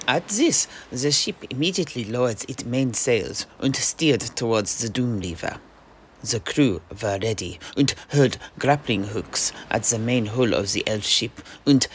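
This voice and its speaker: A man playing a mercenary knight who recounts his grandfather's sea adventure in emphatic, slightly halting narration for a fantasy audio play, with faint ambience behind. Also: medium pitch, vocal fry, a bit bright, slight background noise. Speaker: storyteller.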